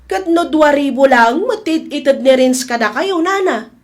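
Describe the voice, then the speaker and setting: A meddling Ilocano shopkeeper , acted by a woman, talks in a high voice. She is chatty and confiding, with a disapproving edge suited to village comedy drama. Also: lively intonation, moderate pace. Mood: gossipy.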